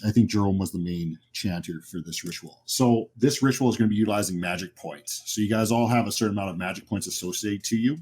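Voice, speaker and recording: A man's voice: lowish voice, a little mellow, husky. A man acting as game master, explaining the setup of a tabletop horror game to players.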